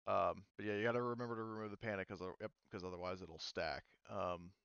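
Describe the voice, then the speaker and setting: Casting: male game master, halting and filler-heavy, smooth resonant tone, dull lo-fi sound. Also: deep voice, fairly even intonation, fairly bright tone, no room sound. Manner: hesitant, lots of ums and restarts. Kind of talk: running a tabletop roleplaying game.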